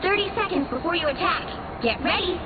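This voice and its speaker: High-pitched game battle announcer. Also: female voice, animated, brisk, far from the mic, phone-quality sound, very noisy. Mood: brisk. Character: brisk female battle announcer.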